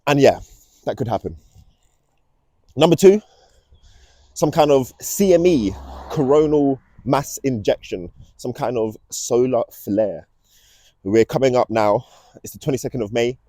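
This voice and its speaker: Young male vlogger. Dark, relaxed tone, close dry sound, casual rambling delivery with an ominous edge. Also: mid-range voice, unhurried, long pauses, dry recording. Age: young adult. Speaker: vlog host. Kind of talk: casual rambling monologue to camera. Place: outdoors, walking.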